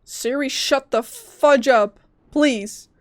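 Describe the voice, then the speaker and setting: A woman streaming a video game, reacting casually in a playful, expressive way. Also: high-pitched, slow pace. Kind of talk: casual live gameplay reactions.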